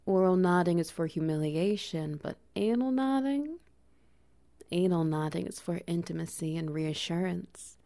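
A woman voicing a dominant, doting adult male lover in an intimate audio roleplay, speaking in breathy whispers with soft laughs and steady praise. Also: lowish voice.

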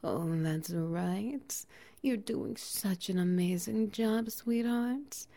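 A woman voicing a doting, dominant female bear-woman, whispering softly and teasing with breathy laughs in an intimate audio roleplay. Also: mid-range voice, takes it slowly. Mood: sultry, doting.

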